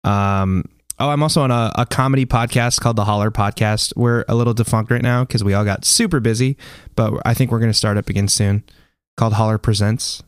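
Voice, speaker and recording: A man's voice: lowish voice, fairly even intonation, fairly quick, smooth, no echo. Blunt, informal man in a dry recording. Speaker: podcast guest, band musician. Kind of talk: casual chat.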